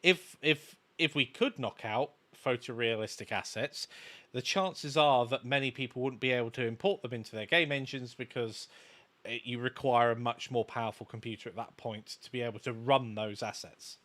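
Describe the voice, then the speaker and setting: A man co-hosting a casual live stream, explaining things in a halting way. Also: mid-range voice, fairly bright tone. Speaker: livestream co-host, game development course maker.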